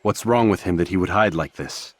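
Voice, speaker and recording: An actor playing a cold, menacing assassin in a video game, terse, calm and threatening. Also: male voice, deep voice, a little fast, no echo. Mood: cold menace. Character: cold, menacing young assassin interrogating a captive. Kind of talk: video game cutscene acting.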